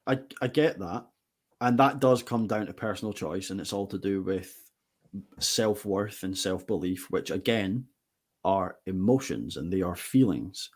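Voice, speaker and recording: A man's voice: low-pitched, a little slow. A man hosting a casual podcast, asking probing, skeptical questions. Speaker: podcast host.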